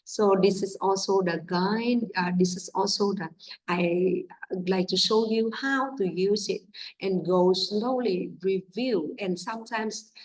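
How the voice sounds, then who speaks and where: Woman teacher, Vietnamese first language, husky voice, slow and halting, mic a bit far off. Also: medium pitch. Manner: halting, many fillers, non-native English. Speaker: English teacher training other teachers. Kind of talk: informal teaching guidance. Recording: distant from the mic.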